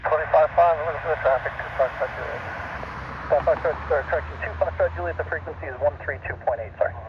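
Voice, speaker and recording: Fast, distant-sounding man on aviation radio. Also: a little high. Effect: aviation radio transmission. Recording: distant, muffled, noisy aircraft radio.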